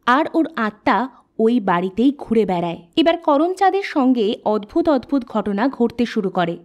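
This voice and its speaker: High-voiced woman narrating a folk tale. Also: moderate pace. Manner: steady, plain storytelling. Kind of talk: scripted storybook narration.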